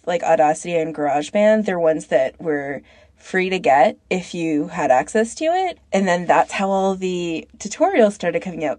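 A woman's voice: on the low side, darkish tone, dry recording. A woman co-hosting a podcast in a casual, conversational way. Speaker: podcast co-host. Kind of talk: casual discussion.

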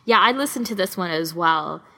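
A woman podcast co-host chatting casually in an informal, animated way, wandering into tangents as she gives recommendations. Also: lowish voice, normal speed. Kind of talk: casual chat, recommending audio fan fiction.

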